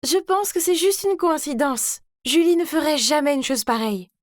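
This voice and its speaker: A woman's voice: high voice, animated, normal speed, no echo, studio quality. A woman voicing a kind, trusting teenage girl in a cartoon story, speaking in a reassuring way. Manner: conciliatory, reassuring.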